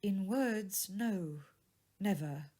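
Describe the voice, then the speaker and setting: Woman acting a slow, gentle, sorrowful young woman. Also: medium pitch, lively intonation. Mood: sad, earnest. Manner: gentle, earnest, measured. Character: sorrowful, dignified young woman releasing her changed fiancé. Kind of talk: dramatised audiobook reading of a classic tale.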